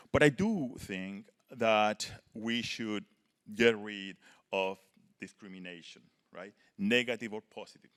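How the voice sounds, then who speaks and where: A man speaks in a fairly low voice, laying out an argument on a discussion panel. He talks earnestly and keeps checking in with his listeners by tagging "right?" onto his points. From Chile. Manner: earnest, arguing a point, often tags "right?". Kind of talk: panel talk, prepared remarks.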